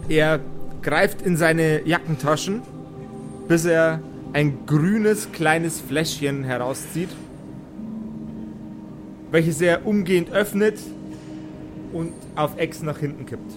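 Male game master narrating over loud music. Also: a little high, takes it slowly, pauses often. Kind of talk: improvised narration of a tabletop fantasy game. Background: background music.

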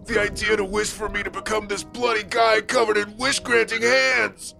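Naive, flustered cursed businessman, radio comedy horror. High male voice, heavy fry, earnest whining. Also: level tone, normal speed, sharp tone, soft background music, exaggerated voice. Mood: bewildered.

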